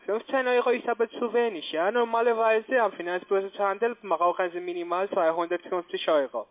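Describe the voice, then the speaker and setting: A man giving a phone sales pitch in halting non-native German with grammar slips, as a call center agent. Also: fairly even intonation. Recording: tinny band-limited phone line.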